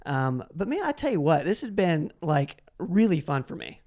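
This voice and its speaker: A man's voice: fairly high pitch, big pitch swings, normal speed, a little mellow. A man hosting a casual conversational interview, enthusiastic and rambling, often repeating his words. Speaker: podcast host and interviewer.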